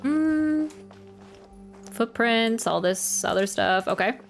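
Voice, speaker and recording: A woman's voice: fairly high pitch, animated, takes it slowly, vocal fry. A woman doing casual gameplay commentary as a streamer, musing playfully and reading game text aloud. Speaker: gaming streamer. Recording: voice over game music. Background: game music.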